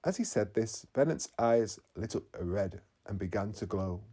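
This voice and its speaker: A man narrating a children's bedtime story in an expressive storytelling manner. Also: lowish voice, moderate pace. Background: faint music.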